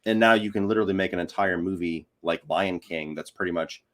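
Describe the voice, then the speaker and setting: A man's voice: deep voice, fairly quick. A man talking casually and giving his opinions as a guest on a film podcast.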